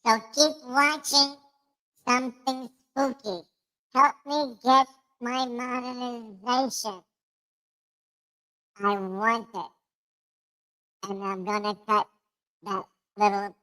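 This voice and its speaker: Man putting on a bright squeaky livestream voice. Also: exaggerated voice, rough recording. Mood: explaining. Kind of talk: casual livestream chat.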